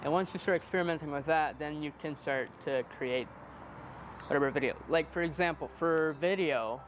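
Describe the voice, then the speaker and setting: Young guy early 20s just chatting, rambles and jokes about himself, bit high voice, faint outdoor noise. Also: moderate pace. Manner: rambling, self-deprecating, playfully joking. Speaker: interviewee, amateur videographer. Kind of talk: casual chat.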